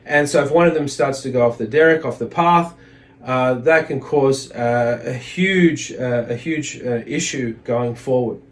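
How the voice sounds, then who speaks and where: A man giving a religious lesson as a teacher, reading from a text and explaining it in a halting way, often repeating words. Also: medium pitch.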